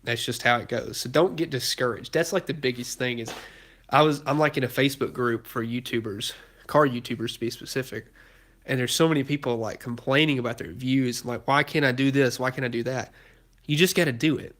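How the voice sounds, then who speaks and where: A man's voice: medium pitch, fairly quick, fairly bright tone. A young man hosting a casual live stream from his garage, a bit congested and losing his voice, rambling with lots of fillers and restarts. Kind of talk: casual live stream, answering chat questions. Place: cluttered home garage with a project car. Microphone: phone held while filming.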